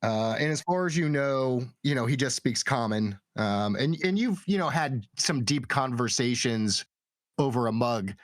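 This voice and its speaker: A man's voice: mid-range voice, expressive, moderate pace, no echo, clean studio sound. A man acting as game master, narrating and refereeing a tabletop role-playing game.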